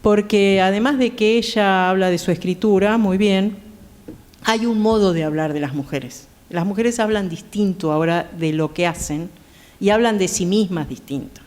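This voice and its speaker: A woman's voice: medium pitch, moderate pace, a little mellow. A middle-aged woman with an Argentine accent, talking conversationally as a guest on a theatre panel. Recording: reverberant theatre hall.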